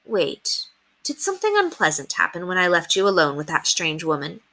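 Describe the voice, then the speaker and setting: A woman's voice: lowish voice, huge pitch swings, moderate pace, pushed and bright, heavily acted. A woman voicing a kindly, earnest fifteen-year-old governess in a reassuring, determined way. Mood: concerned.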